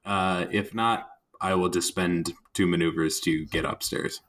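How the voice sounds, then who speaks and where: Male tabletop player, slow-paced and low, politely tentative while improvising casual story beats. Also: fairly even intonation, a bit bright.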